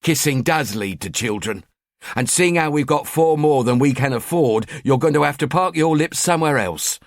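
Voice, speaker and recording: A man's voice: a little high, expressive, normal speed, no room sound, clean studio sound, pitched above the performer's own voice. A man voicing a nagging middle-aged wife with an East London accent, sarcastic and scolding.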